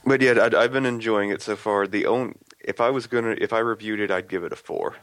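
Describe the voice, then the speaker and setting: A man's voice: on the low side, steady tone, a bit bright, dry recording. A man chatting on a podcast who often stumbles and restarts his sentences. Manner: halting, stumbles over his words. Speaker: podcast co-host. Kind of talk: casual chat.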